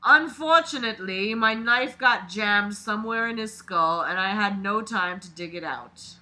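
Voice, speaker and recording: A mid-pitched reader with a very bright, sharp voice. The delivery is a dramatic read-aloud, expressive and exclamatory, broken up by mocking, sweary asides. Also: a little slow, low-quality recording. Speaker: reader and commentator.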